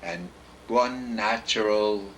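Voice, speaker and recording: Elderly man in his eighties, fairly low voice, slow halting answers, home video, faint hiss. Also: fairly bright tone, low-quality recording. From United States, born in Poland. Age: 80s. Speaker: interviewee, family elder. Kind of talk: home video family interview. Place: home in a retirement community.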